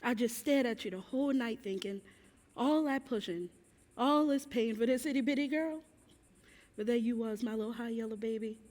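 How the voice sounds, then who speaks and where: A woman's voice: a little high, normal speed, a little mellow, lightly acted. An actress on a theatre stage playing a tender, resolute enslaved mother with folksy storytelling. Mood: tender, wistful. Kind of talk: stage play monologue. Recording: reverberant theatre stage recording.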